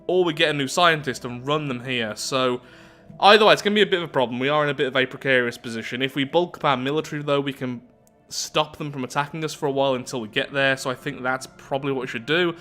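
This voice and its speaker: Man, bright voice, casual strategy game commentary, thinking aloud, close mic, music behind. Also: mid-range voice, fast. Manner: thinking aloud, musing to himself. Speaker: host of a strategy game playthrough.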